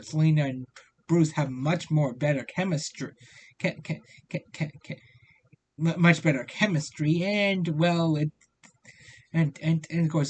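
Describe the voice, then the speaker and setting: A man with a slightly high voice delivers a casual review. His speech is halting, with words and syllables often stammered and repeated. A light layer of noise sits behind him. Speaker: video reviewer. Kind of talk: casual review commentary, loosely scripted. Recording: noticeable steady background noise.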